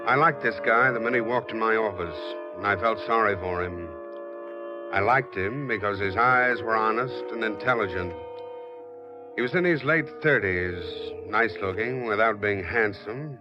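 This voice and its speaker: An American man playing a shrewd, world-weary, sympathetic heavyset private detective in a 1940s radio drama, measured and matter-of-fact. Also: on the low side, close to an everyday voice. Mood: wry, reflective. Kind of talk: 1940s radio acting, first-person detective narration. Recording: dull 1940s radio recording, music behind. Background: musical instrument.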